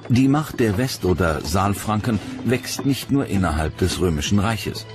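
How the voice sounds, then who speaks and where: A man narrates a documentary in a low voice. His delivery is measured and dramatic, like scripted storytelling, with music playing clearly underneath. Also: expressive.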